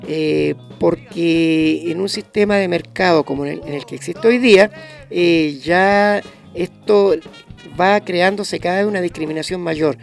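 A man's voice: high-pitched, measured pace, gravelly, faint music behind. A man in his fifties, a radio guest speaking earnestly with many hesitations. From Chile. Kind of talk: radio discussion.

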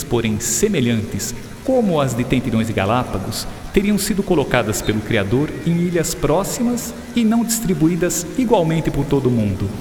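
Man acting as Charles Darwin, earnest naturalist in echoey hall. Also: mid-range voice. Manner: explanatory, peppered with English interjections. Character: Charles Darwin, learned English gentleman naturalist, patient and earnest. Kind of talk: educational radio drama acting. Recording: reverberant hall sound with music underneath.

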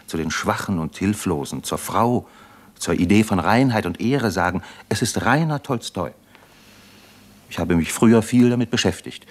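An actor playing a weary, disillusioned captive doctor in a German radio play, articulate and bitterly ironic. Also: male voice, deep voice. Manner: articulate, bitterly ironic, impassioned in long speeches.

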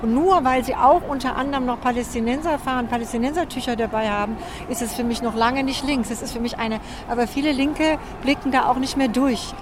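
A woman's voice: a little high, brisk, few pauses, background noise. A woman speaking emphatically and indignantly in a spontaneous street interview, outdoors in a city.

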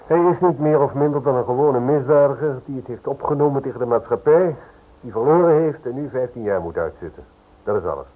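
A man's voice: medium pitch, brisk, natural voice. An actor playing a brusque, cynical male lawyer in a Dutch radio drama, curt and sceptical with his visitor. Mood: dismissive. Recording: muffled, lo-fi old radio recording.

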